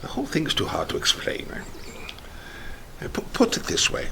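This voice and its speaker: An actor playing an excitable, philosophical detective in a radio drama, musing in a theatrical way. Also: male voice, normal speed, background noise, lightly acted. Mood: bewildered musing. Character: excitable, philosophical male detective fixated on strange ideas.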